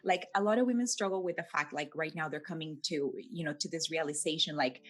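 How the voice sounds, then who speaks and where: Woman, fitness coach, second-language english speaker, low-ish pitch, playful, restarts a lot. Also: fast, soft background music. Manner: playful, many fillers and restarts. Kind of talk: casual interview answers.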